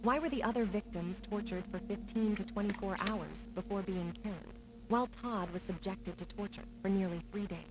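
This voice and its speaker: A woman's voice: mid-range voice, a little fast, lightly acted, rough recording. A woman playing a newspaper reporter, reading a grim horror report in a formal, matter-of-fact way. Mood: grave, factual. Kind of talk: scripted news narration. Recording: music playing under the voice.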